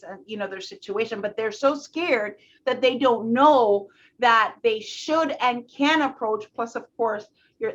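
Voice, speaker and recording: A woman's voice: fairly high pitch, fairly bright tone. A woman interviewer asking questions and encouraging listeners, in a dull lo-fi recording. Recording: dull lo-fi sound.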